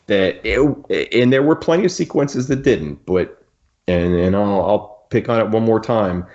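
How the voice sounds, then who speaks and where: Deep-voiced man talking in dull audio. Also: animated, darkish tone. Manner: opinionated, halting and rephrasing as he goes. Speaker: podcast host. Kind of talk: casual book discussion.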